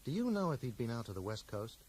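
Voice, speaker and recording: An actor playing a dogged, dry-witted bookseller who questions people like a detective, direct and wry, in a radio drama. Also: male voice, mid-range voice, sing-song, quick pace, darkish tone, slightly rough. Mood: probing, insistent. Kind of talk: 1980s radio drama acting. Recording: noisy 1980s radio broadcast.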